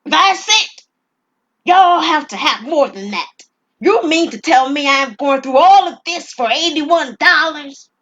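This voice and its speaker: Woman acting a forceful, desperate middle-aged male robber. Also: sits high, lively intonation, slow, voice pushed up, exaggerated voice. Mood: agitated, bitter. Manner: forceful, bitter ranting. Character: unstable, desperate robber, man in his forties. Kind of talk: audio drama acting.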